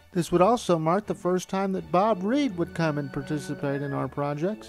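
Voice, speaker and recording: A middle-aged man with a fairly high voice tells his memories as documentary narration. Faint music plays underneath. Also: darkish tone. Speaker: filmmaker narrator.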